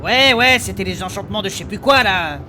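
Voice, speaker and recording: A man's voice: sits high, animated, brisk, bright, forceful tone, a little hiss or hum, exaggerated voice. A man playing an eager, curious adventurer in a comic fantasy audio drama, casual, slangy and a little hesitant. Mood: curious, puzzled. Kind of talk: French fantasy comedy audio fiction acting.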